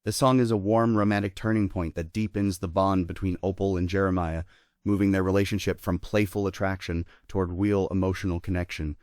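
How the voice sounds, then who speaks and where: A man's voice: low-pitched, fairly even intonation, brisk, darkish tone, no room sound, clean studio sound. A man narrating a script, reading stage directions and scene summaries aloud.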